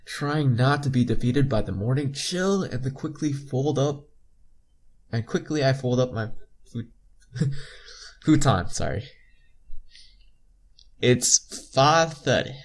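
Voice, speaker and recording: A young man reading visual novel text aloud as a playthrough host, informally, stumbling now and then and correcting himself, with casual asides. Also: medium pitch. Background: game music.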